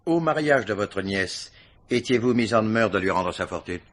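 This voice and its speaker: Dry insistent police inspector in a radio mystery, lively pitch swings, lowish husky voice. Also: male voice. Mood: probing, suspicious. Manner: formal, insistent questioning with deliberate hesitations. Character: probing male police commissioner questioning witnesses, dry and persistent. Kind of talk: French radio mystery play acting.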